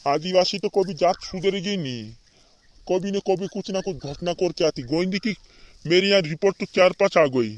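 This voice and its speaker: Man playing cartoon hospital doctor, slightly high voice, brisk, reassuring, mildly scolding, repetitive colloquial talk. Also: dry recording, close to an everyday voice. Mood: chiding, exasperated. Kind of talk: amateur regional comedy cartoon dubbing.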